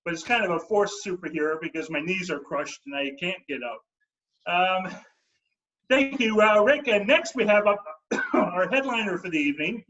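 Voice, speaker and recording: A man emceeing an online comedy show, halting and filler-heavy as he brings on the next act. His voice is high and bright for a man. The audio is dull and lo-fi. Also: big pitch swings. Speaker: show host.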